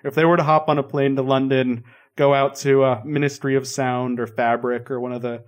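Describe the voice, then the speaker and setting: A man talks in a loose, chatty way, spinning stories with a playful edge. His voice sits at a middling pitch for a man. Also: flat delivery, a little fast. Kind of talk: casual chat.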